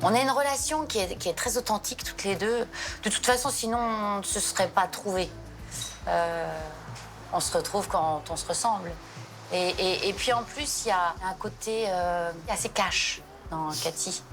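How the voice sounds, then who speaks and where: A woman's voice: medium pitch, moderate pace. A woman, an actress, chatting casually as she looks back on her past work. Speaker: actress reminiscing. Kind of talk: casual chat. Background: music behind the voice.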